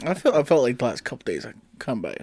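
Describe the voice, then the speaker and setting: A man's voice: medium pitch, huge pitch swings, fairly quick, darkish tone, slight background noise. A man hosting a casual group chat among friends, talking in an easygoing, joking way. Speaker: podcast host.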